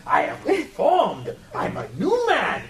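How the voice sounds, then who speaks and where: A man playing a defensive reformed villain, a whiny, excitable mad doctor, in a live comedic radio play. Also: very high-pitched, slow, vocal fry, a crowd in the background, exaggerated voice. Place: live convention stage. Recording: echoey live stage, distant mic.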